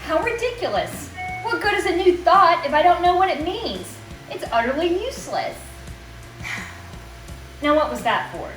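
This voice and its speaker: A woman's voice: extremely high pitch, big pitch swings, moderate pace, pauses often, fairly bright tone, exaggerated voice. A woman playing a fussy, demanding lady in a stagy poetry performance, with formal, theatrical phrasing. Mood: excited, exasperated. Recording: echoey room, music behind.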